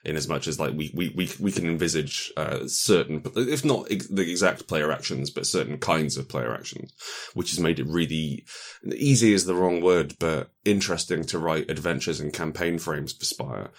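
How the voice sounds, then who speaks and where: A man with a very low voice talks as an interview guest about his craft. He is animated and jokey, wandering through his thoughts in loose, casual conversation. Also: moderate pace. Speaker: guest game designer.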